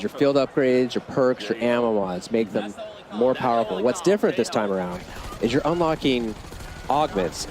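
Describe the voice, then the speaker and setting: Male developer explaining over noisy venue. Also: mid-range voice. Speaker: game developer guest. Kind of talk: casual explanatory interview. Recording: clear background noise from busy venue.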